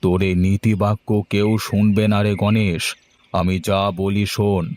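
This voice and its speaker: A man's voice: on the low side, little pitch movement, a little slow, darkish tone, a little hiss or hum, close to an everyday voice. A man voicing a scheming, pushy village seed dealer in a cartoon, with a coaxing, condescending manner. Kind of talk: cartoon dialogue acting.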